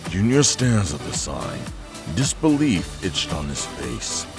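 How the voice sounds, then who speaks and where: A man's voice: low voice, very animated, slow, vocal fry, over music. A man narrating a scripted cartoon story in a dramatic style.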